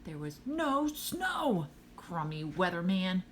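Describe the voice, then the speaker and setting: A woman voicing an excitable, dramatic young boy in a read-aloud storybook. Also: fairly low pitch, slow, a little hiss or hum. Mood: disgusted, grumbling.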